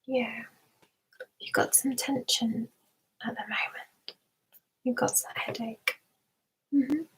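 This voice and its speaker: A woman hosting an ASMR stream, speaking in a soft whisper with a gentle, soothing, unhurried manner. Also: a little high, fairly even intonation, breathy edge, rough recording. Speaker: ASMR live stream host. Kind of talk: ASMR personal attention roleplay.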